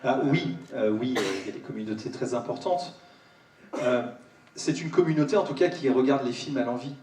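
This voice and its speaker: A man with a mid-pitched voice speaks spontaneously at a live panel. He is halting and often repeats a phrase. The sound is echoey and somewhat distant from the microphone. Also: noisy background. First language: French. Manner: halting, repeats words for emphasis. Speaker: host of a panel discussion. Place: large cinema auditorium.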